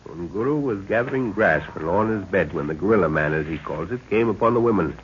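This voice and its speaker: An actor playing a seasoned, calm and authoritative jungle expedition leader in a 1930s radio adventure serial. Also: male voice, sits low. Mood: grim, measured. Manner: authoritative, deliberate, clipped orders. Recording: scratchy, muffled 1930s radio recording.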